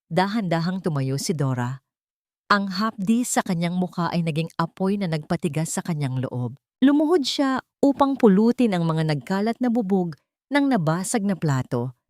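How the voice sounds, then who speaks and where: A woman's voice: on the low side, animated, moderate pace, darkish tone, no room sound, clean studio sound. A woman reading a scripted, dramatic love story as narrator.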